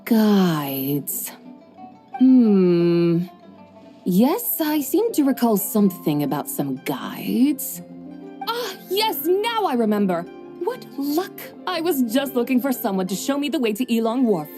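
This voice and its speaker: A drowsy formal mystic. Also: female voice, on the high side, very animated, slow pace, mellow, faint music behind. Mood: stately. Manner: formal, old-fashioned. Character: drowsy, formal mystic woman with grand old-fashioned manners. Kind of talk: game cutscene voice acting.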